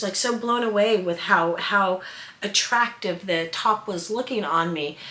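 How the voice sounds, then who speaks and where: A woman in her sixties with a stuffy nose and sore throat, chatting excitedly and casually to camera as a sewing vlogger in her sewing room. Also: on the high side. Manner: chatty, excitable, many fillers. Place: home sewing room, indoors. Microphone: camera at desk distance. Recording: echoey room.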